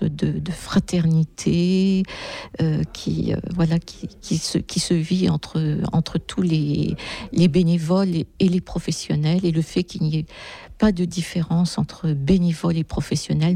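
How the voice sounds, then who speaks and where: A woman answers an interview question, stopping and restarting often. Her voice is gravelly and mellow, with a flat, even intonation and a dry sound. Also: deep voice, dry recording. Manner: halting, many restarts.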